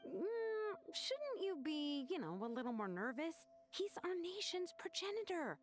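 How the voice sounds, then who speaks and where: A woman's voice: extremely high pitch, sing-song, measured pace, faint music behind, full character voice. A woman voicing a casual, evasive young craftswoman who dodges work, in a video game. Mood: curious, casual.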